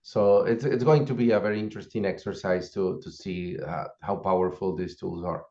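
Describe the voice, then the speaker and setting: A man's voice: low voice, normal speed. A man who is a course instructor, steadily explaining and listing the parts of a course over a video call. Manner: steady, explanatory, listing items one after another. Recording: dull lo-fi call audio.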